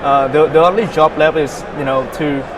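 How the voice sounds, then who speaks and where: A young man from Vietnam, a startup founder, answering interview questions in a halting way with many fillers and repeated words. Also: fairly high pitch, fairly even intonation, background noise.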